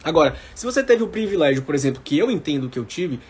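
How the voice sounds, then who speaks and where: Animated, higher-pitched Brazilian man coaching. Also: fast, breathy edge. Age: about 40. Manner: emphatic, repeats key phrases, checks in with viewers. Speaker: mentor for civil service exam candidates. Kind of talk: motivational live-stream coaching, informal talk to viewers.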